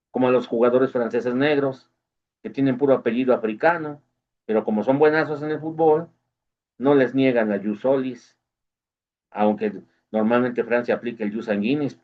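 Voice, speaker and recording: Guy rambling through an explanation, mid pitch, kinda dull muffled audio. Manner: explanatory, asks "¿no?" often. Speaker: host. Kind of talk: casual opinion monologue. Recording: dull lo-fi recording.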